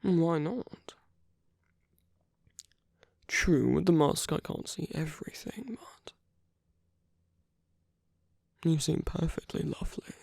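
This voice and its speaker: A suave masquerade villain, acted out by a performer for a romantic ASMR roleplay, speaks in a husky, breathy near-whisper. The delivery is slow and teasing, with lingering pauses and insinuation. It is recorded very close and dry. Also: medium pitch, animated, darkish tone, dry recording, heavily acted. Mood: flirtatious, coy. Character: suave flirtatious villain at a royal masquerade ball. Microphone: close dry microphone.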